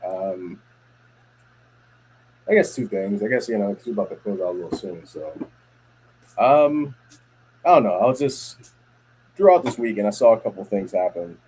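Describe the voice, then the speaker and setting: A man's voice: lowish voice, animated, normal speed, pauses often, a little mellow, a little hiss or hum, low-quality recording. A man co-hosting a podcast from home, talking casually and rambling with many fillers and restarts. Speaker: podcast co-host. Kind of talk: casual chat.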